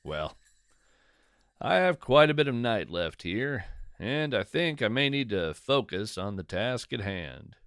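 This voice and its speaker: A man playing a whimsical, contemplative naturalist narrating a poetic, folksy podcast monologue in a measured, savoring way. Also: mid-range voice, big pitch swings, fairly bright tone, no echo, studio quality. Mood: wistful, savoring. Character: whimsical wandering male naturalist, contemplative lover of strange nature.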